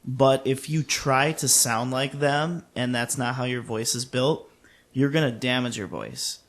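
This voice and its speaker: A man's voice: mid-range voice, measured pace, fairly bright tone. A man in his late thirties co-hosting a podcast, talking casually in a candid, self-deprecating way. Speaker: podcast co-host, singer and music teacher.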